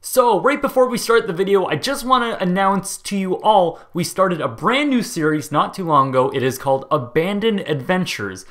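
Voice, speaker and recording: Young American guy, upbeat host, animated bright read, casual and joking. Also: sits high, normal speed, hardly any pauses. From United States. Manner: jokey, excitable, swears and trips over place names. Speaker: host narrating a documentary video. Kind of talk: casual narration over footage.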